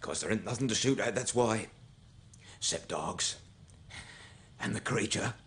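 An actor playing a haunted old country gamekeeper with a rural English accent in a 1970s radio play. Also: male voice, fairly low pitch, a little slow, fairly bright tone. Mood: gruff unease. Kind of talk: 1970s British radio drama storytelling.

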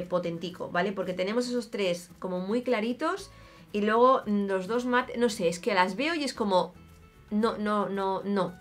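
A woman's voice: medium pitch, a bit bright. A woman in her thirties with an accent from Spain, chatting casually and expressively to camera as an opinionated beauty vlogger. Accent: Spanish from Spain. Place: small home room, indoors.

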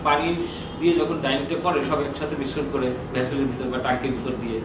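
Fast-talking older Bangladeshi man, very echoey. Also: medium pitch, fairly even intonation. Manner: asks questions and answers them himself. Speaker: customs bond official giving training. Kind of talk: informal explanatory lecture. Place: small office with bookshelves, indoors. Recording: very echoey, distant mic, noisy room.

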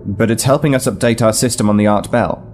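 A man's voice: lowish voice, quick pace, darkish tone, lightly acted. A man playing a serious, questioning starship captain, direct and businesslike, in a sci-fi audio drama. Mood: concerned.

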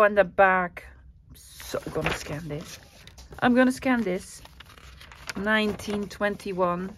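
A woman's voice: mid-range voice, a little hiss or hum. Gushing Dutch-accented woman vlogger. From Netherlands. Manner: gushing, enthusiastic, chatty. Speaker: craft vlogger. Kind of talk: casual haul vlog.

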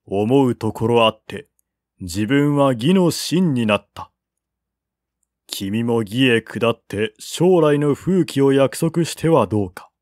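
A man reading as a turncoat general in an audiobook, formal, deliberate and persuasive. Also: mid-range voice, lively intonation, darkish tone, no echo, studio quality. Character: persuasive turncoat general writing to a former comrade.

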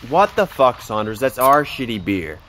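A man's voice: mid-range voice, very animated, normal speed, a bit bright. A man with an American accent playing a brash, foul-mouthed, hot-headed teenage boy in an audio drama. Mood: hostile. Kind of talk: naturalistic audio drama dialogue. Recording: noisy outdoor ambience behind.

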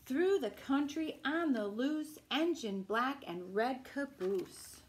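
A middle-aged woman reading a picture book aloud as a children's storytime host, with a deliberate, singsong delivery. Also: sits high, lively intonation, slight background noise. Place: small room at home, indoors. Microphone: camera a few feet away.

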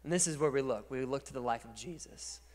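A man in his thirties preaching a sermon as pastor on a church stage, speaking into a handheld mic and swinging from quiet to loud. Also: medium pitch, fast talker. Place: large dark church stage. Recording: long reverb of a large church hall.

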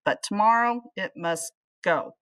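A woman voicing a grumpy solitary storybook man, grumbling with emphatic, drawn-out complaints. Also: medium pitch, fairly bright tone, dry recording, natural voice. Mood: reluctant. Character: grumpy solitary man who dislikes cats.

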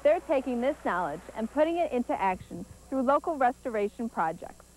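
A woman's voice: on the high side, sing-song, a little fast, a little mellow, slight background noise, poor audio. A young woman reporting and narrating a teen news feature in a chatty, explanatory tone.

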